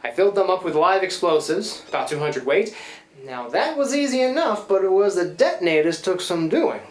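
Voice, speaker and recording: A man's voice: sits high, animated, fairly quick. An actor playing a scrappy, cheeky boatman in a live radio play, talking in a folksy, stammering, colloquial way. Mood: rambling, sly. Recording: roomy live stage recording.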